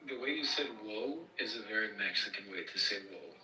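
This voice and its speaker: A man's voice: lowish voice, animated, a little fast, sharp tone. A man in a casual group chat who keeps teasing and prodding with questions. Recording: roomy, distant audio.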